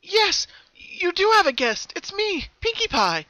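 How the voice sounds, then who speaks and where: High-voiced, timid, earnest girl pony. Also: big pitch swings, a little slow, sharp tone, dry recording, full character voice, low-quality recording. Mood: hopeful, eager. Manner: earnest, hesitant, stammering. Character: sad, timid, hopeful young female cartoon pony.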